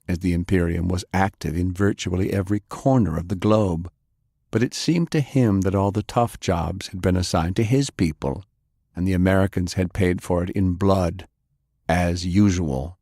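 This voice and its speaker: Male narrator for fiction audiobook: low, husky, unhurried, dramatic scripted read. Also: darkish tone, no room sound, clean studio sound. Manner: measured, dramatic.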